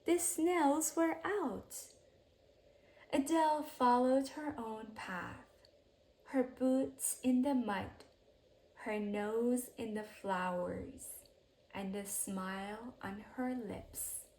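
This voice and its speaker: A woman with a fairly high voice reads a bedtime story for children. She takes it very slowly, in measured phrases. Her delivery is expressive, and her sentences tend to settle into soft, hushed endings. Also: rough recording. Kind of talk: expressive read-aloud bedtime story narration.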